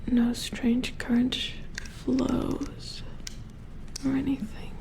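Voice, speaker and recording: Woman doing a soft whispery robot repair engineer asmr, slow n focused, pretty even tone, calm and methodical. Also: sits high, gravelly, full character voice. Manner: unhurried, murmuring as if concentrating on close work. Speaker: ASMR roleplay performer. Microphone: close ASMR microphone.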